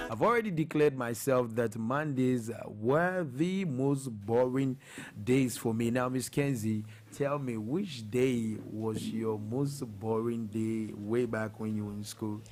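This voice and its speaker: A man with a Ghanaian English accent hosting a casual morning radio show, talking in a lively, excitable, slangy way. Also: medium pitch, a little hiss or hum.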